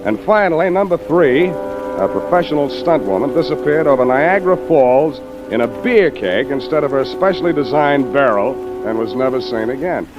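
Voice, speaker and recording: A man's voice: normal speed, loud music behind the voice, lightly acted. An American man playing a wisecracking, hard-boiled private detective with dry, sardonic delivery in a 1940s radio noir drama. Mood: dry narrating. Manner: dry, sardonic, with hesitant murmured asides. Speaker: private detective narrator and lead. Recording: dull, noisy old radio recording. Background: steady rain and train rumble.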